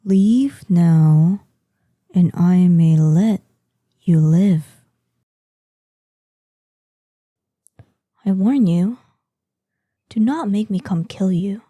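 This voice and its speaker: A woman playing an ancient, proud female dragon in a scripted audio roleplay, imperious in manner, with a breathy voice that lapses into whispers. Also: lowish voice, mellow, dry recording. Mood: menacing. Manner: slow, imperious, savoring threats. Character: ancient, menacing, proud female dragon guarding hoard, lonely, excitable.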